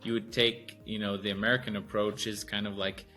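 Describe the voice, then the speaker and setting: A man's voice: on the low side, flat delivery, a little fast, pushed and bright, soft background music. A man hosting a casual talk show who explains his views loosely, searching for words and restarting his sentences. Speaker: host. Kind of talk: casual podcast chat.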